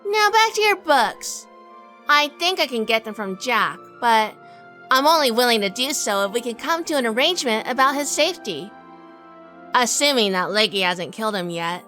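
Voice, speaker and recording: Bright, animated noir dame played by a woman. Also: a little high, moderate pace, faint music behind. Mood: calculating. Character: tough, independent gangster's wife, shrewd gun-toting dame. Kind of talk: noir radio drama acting and narration.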